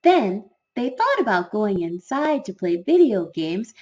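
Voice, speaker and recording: A woman narrating a children's story from a script, expressive and deliberate, with dramatic emphasis. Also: mid-range voice.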